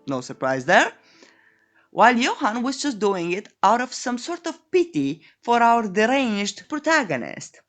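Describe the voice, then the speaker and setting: Woman doing cheeky story recap voiceover, super swoopy pitch, highish, music behind her. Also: very animated, measured pace, fairly bright tone. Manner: tongue-in-cheek, euphemistic, coy. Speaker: narrator of comic recap videos. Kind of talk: playful scripted recap narration. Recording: voice-over with background music.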